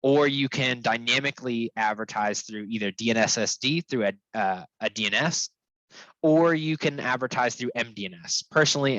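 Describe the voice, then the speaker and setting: A man's voice: medium pitch, a bit bright, dry recording. A man presenting technical material in an informal, conversational webinar style, often checking in as he explains. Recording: dull, lo-fi, dry close sound.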